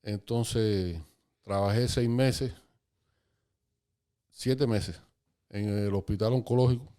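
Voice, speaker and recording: A Cuban man in his fifties, a podcast guest calmly recalling his life story in casual conversation. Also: on the low side. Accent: Cuban Spanish. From Cuba. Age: 50s. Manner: calm, unhurried, recalling dates and places.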